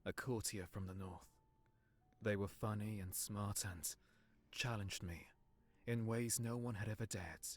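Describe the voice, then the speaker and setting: A man playing a guarded, heartbroken young nobleman in a visual novel, speaking in an earnest, measured way. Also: lowish voice, steady tone. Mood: wistful. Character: heartbroken young nobleman, guarded, wounded, confiding in his servant. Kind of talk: visual novel character acting.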